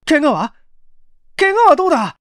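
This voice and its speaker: A man's voice: extremely high pitch, big pitch swings, moderate pace, a bit bright, dry recording, clean studio sound, voice pushed up, full character voice. A man voicing an anxious male colleague who talks in urgent agitation, in an audiobook mystery. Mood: frantic worry. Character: frantic, anxious male colleague shouting over the telephone.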